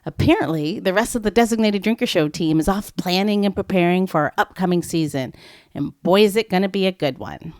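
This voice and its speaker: A woman's voice: lowish voice, a little mellow, dry recording, studio quality. A woman hosting a podcast, giving a playful, teasing scripted introduction straight to the listener. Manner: playful, teasing, drawn-out emphasis. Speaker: podcast host.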